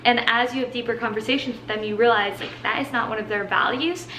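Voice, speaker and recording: A young woman in her twenties answers fitness questions in a bright, slightly high voice, chatty and upbeat, sprinkling "like" everywhere. Her first language is Portuguese. She sounds like she is in an echoey room with a faint background hum. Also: fairly even intonation, fast talker, soft background music. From Brazil. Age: 20s. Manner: chatty, filler-heavy with many "like"s, upbeat. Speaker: fitness coach. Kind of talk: casual Q&A monologue.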